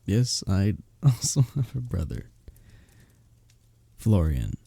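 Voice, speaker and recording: A man playing a gentle, earnest village innkeeper in a medieval ASMR roleplay, speaking softly and intimately in formal, old-world phrasing. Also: on the low side, sing-song, slow, creaky, husky, dry recording, exaggerated voice. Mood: flattered, fond. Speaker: audio roleplay performer. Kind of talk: ASMR roleplay, intimate one-sided conversation.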